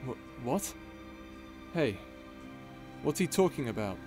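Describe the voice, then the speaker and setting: A man's voice: mid-range voice, big pitch swings, takes it slowly, music in the background. A man playing a hot-headed, hurt young son who confronts his father in an audio drama, indignant and stammering. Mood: confused, frustrated. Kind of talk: scripted audio roleplay drama.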